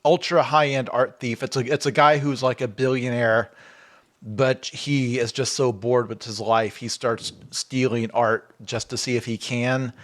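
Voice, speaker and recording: A man's voice: mid-range voice, a little slow. A man chatting casually on a podcast, telling stories with animation and acting out quotes. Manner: animated storyteller, acts out quotes in raised voices.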